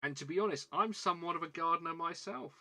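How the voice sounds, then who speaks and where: A man's voice: fairly high pitch, fast talker, pushed and bright. A man with a southern British English accent chatting casually as a livestream host, rambling and self-deprecating, often repeating his words. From southern England. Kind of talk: casual livestream chat while building a model kit.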